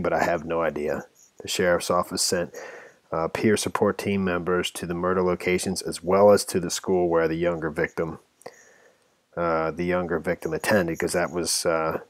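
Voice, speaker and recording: A man giving informal commentary on a news story, part reading and part ad-libbing, halting and rambling as he stumbles over words. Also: low voice, no echo.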